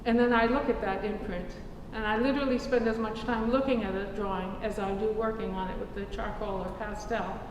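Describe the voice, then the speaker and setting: A woman artist explaining her drawing method in a measured, explanatory gallery talk. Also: mid-range voice, steady tone. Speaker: artist presenting her work. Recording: echoey hall recorded from far off, noisy.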